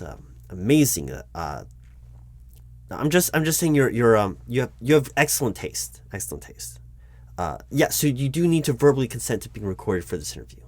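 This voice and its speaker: A man playing a nervous, polite recruiting manager in a job interview roleplay, speaking aloud in a halting, stammering, apologetic way. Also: on the low side. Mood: flustered, impressed, then businesslike. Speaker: roleplay performer. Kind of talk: one-sided job interview audio roleplay, spoken aloud.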